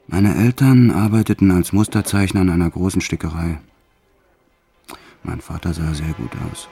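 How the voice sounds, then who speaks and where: An actor playing a notorious male French criminal who recounts his wartime childhood in a brooding, deliberate way, as in an audio drama. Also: sits low, creaky, warm tone, breathy edge, full character voice. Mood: brooding reminiscence. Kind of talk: dramatized first-person audio drama narration.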